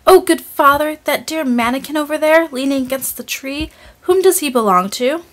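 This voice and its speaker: A woman's voice: sits high, moderate pace, voice pushed up, lightly acted. A woman voicing a sweet, tender-hearted little girl in a storybook reading. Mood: pleading.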